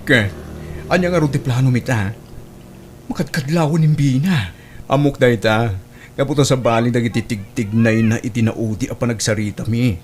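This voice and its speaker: A man's voice: medium pitch, normal speed, a little hiss or hum, lightly acted. A man with an Ilocano accent playing a concerned, sensible co-worker in an audio drama. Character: concerned, sensible co-worker advising a straying friend.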